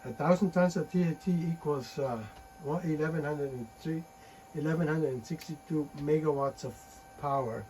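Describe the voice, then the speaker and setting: Halting man presenting technical slides. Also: on the high side, darkish tone, slight background noise, low-quality recording. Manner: halting, frequent uh and restarts.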